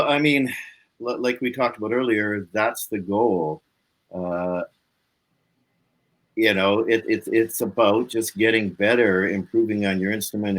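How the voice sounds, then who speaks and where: A man's voice: lowish voice, a little slow, fairly bright tone. A man speaking as a musician guest in a casual interview, reflective and earnest in manner.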